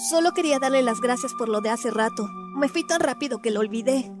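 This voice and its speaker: A woman's voice: fairly high pitch, fast, over music, close to an everyday voice. A woman voicing a casual young boy in an audio horror story. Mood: politely grateful. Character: young boys, casual, cheeky trick-or-treating pals.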